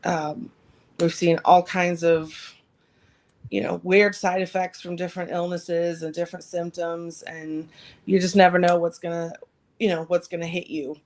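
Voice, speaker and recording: Slightly raspy woman chatting casually. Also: fairly low pitch, level tone, a little slow, darkish tone. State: slightly raspy after anesthesia. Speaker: podcast co-host. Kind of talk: casual chat.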